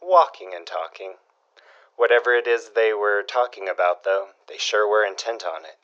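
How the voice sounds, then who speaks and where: Dull recording, curious folksy man, fairly deep, dry delivery, old-fashioned gossip, audio drama. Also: expressive, normal speed, fairly bright tone. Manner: inquisitive, dry, old-fashioned turns of phrase. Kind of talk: period audio drama dialogue.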